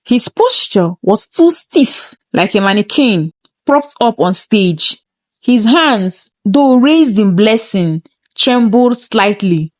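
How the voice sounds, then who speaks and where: A woman narrates a first-person tale with dramatic suspense. Her voice sits fairly high and moves expressively up and down, taking its time, in a very dry recording. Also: animated, takes it slowly, no echo. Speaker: storyteller.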